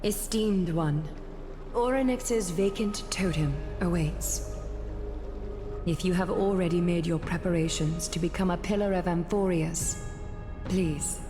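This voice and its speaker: Woman playing reverent game priestess type, lowish, very formal, big hall reverb. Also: measured pace, music in the background, close to an everyday voice. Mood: solemn. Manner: ceremonial, formal. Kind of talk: video game story dialogue.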